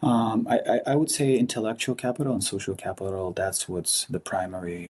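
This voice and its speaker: A man from Ukraine with an Eastern European accent, speaking earnestly and haltingly as an interview guest. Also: fairly low pitch, little pitch movement, a little mellow, husky. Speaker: podcast guest, investment fund partner. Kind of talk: casual interview talk.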